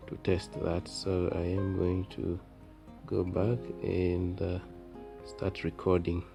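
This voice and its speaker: A man presenting an unscripted repair demonstration, talking in halting, piecemeal phrases as he works. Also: on the low side, creaky. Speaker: phone repair tutorial presenter. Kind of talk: unscripted hands-on demonstration narration.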